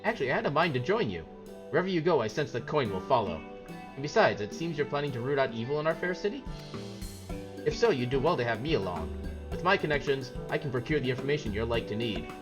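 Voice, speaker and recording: A man voicing an eager, money-minded fixer from a video game, brisk, chatty and self-assured. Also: medium pitch, big pitch swings, pitched above the performer's own voice, lightly acted. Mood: eager, boastful. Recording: game music behind the voice.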